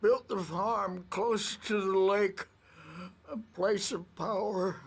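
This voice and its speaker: An actor playing a crazy old rocker in a video game, rambling and conspiratorial in a cracked old voice, with halting, theatrical delivery. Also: male voice, high-pitched, fairly even intonation, gravelly, husky, heavily acted. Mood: weary, muttering. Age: older. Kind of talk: video game character acting.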